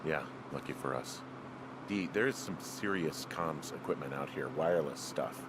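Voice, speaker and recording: An American actor playing a wry, uneasy fire lookout in a video game, talking casually with some swearing. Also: male voice, a bass voice, normal speed, noisy background. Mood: wary, puzzled. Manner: casual, profane, conversational. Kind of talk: naturalistic video game dialogue.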